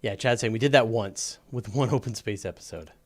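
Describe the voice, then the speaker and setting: Low-voiced man hosting a casual science Q&A. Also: fairly quick. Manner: conversational, informal, explanatory. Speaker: host of a live space and astronomy Q&A show. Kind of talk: casual live explainer talk answering questions.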